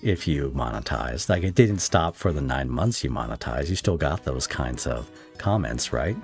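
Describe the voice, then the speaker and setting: A man's voice: extremely low pitch, lively intonation, normal speed, vocal fry, faint music behind. A man hosting a podcast, talking in a casual interview style.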